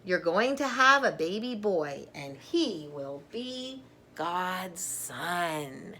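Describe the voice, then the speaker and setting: A middle-aged woman reading a picture book aloud to children, gently and deliberately, with chatty asides. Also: mid-range voice, vocal fry.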